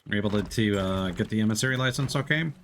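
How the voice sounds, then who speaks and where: Male game streamer, low register with some fry, relaxed and hesitant casual chatter. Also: very fast, slight background noise. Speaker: livestreamer hosting a co-op game. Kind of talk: casual gaming chat.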